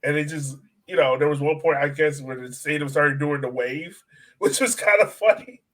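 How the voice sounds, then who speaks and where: Higher-pitched man chatting on a sports podcast. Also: normal speed, fairly bright tone. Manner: informal, enthusiastic fan talk. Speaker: podcast co-host. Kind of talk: casual sports podcast chat.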